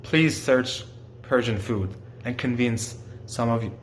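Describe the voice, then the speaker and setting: A young Iranian man with a mid-pitched voice and an Iranian accent talks through his homeland's customs in plain, simple words, as if speaking to children. He keeps turning to the listener with friendly questions. A faint hiss of background noise sits under the recording. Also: takes it slowly. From Iran. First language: Farsi. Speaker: presenter explaining his culture. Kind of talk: simple explanatory talk aimed at children.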